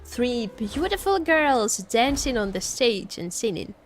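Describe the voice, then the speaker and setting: A woman's voice: fairly high pitch, big pitch swings, measured pace, soft background music. A woman livestream host chatting casually, rambling and searching for her words as she goes. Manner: rambling, hesitant word searching.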